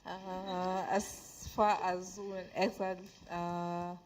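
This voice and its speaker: Woman panelist, unsure, stopping and restarting, slow pace. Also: lowish voice, level tone, soft background music, rough recording. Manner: hesitant, halting, many fillers. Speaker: panel speaker. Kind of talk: unscripted panel remarks.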